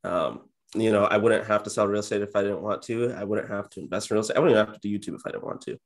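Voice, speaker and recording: Young male guest, 20s, deep-leaning voice, unexcitable and introverted, relaxed conversational interview delivery from a home office. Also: rapid-fire. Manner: low-key, introverted, self-described monotone. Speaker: interview guest, real estate agent and YouTuber. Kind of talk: casual interview chat. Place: indoor home office, on a video call.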